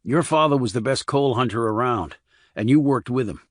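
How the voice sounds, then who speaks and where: A man playing a steady ex-Army investigator in an audiobook, direct and controlled as he questions a witness. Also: medium pitch, normal speed, no room sound, clean studio sound, close to an everyday voice. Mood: probing.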